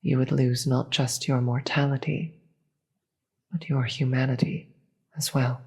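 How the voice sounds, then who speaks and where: A performer voicing a regal, solemn demon queen in a fantasy audio roleplay, speaking formally and gravely in a breathy voice that often drops into a whisper. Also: medium pitch, flat delivery. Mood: measured, grave. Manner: slow, formal, measured, grave.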